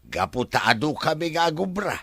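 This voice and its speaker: A man playing a deferential, excitable farm worker in a radio drama, with drawn-out folksy exclamations. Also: a little high, vocal fry, husky, dry recording, full character voice. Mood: eager, respectful.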